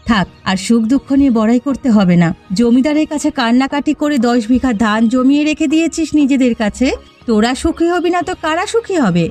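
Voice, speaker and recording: A woman's voice: high-pitched, animated, fairly quick, a little mellow. A woman voicing a bitter, defiant village neighbour in a cartoon, sneering and threatening as she argues. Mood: scornful, threatening. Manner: sneering, combative. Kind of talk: animated cartoon dialogue. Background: background music.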